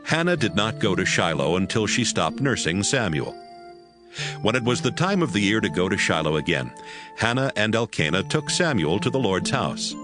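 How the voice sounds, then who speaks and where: A man narrates dramatized scripture in a lowish voice. His storytelling is measured yet highly varied in pitch, with music underneath. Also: big pitch swings.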